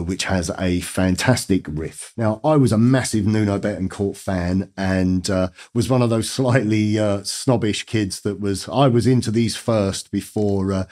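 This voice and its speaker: An English man in his fifties teaching guitar to camera, croaky, nasal and gruff from a cold, chatty and rambling. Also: fairly low pitch, a little mellow. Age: 50s. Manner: chatty, rambling, enthusiastic with fillers. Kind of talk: casual guitar lesson talk to camera. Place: dark studio indoors.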